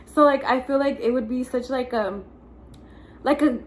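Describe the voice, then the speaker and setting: A young woman with a slightly raspy voice, chatting casually as the host of a vlog. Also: high voice, normal speed. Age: young adult. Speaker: host, vlogger. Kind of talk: casual chat.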